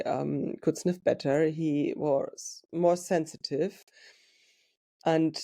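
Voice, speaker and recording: Woman, German accent, podcast host, slow reflective talk, gravelly voice. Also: deep voice, mellow. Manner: slow, reflective, searching for words. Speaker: podcast host and dog trainer. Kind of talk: personal podcast monologue.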